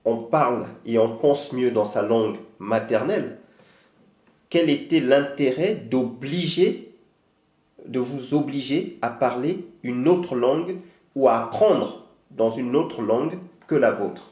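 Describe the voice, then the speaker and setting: A man conducting an interview, asking measured questions with filler pauses. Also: mid-range voice, a little mellow, roomy sound.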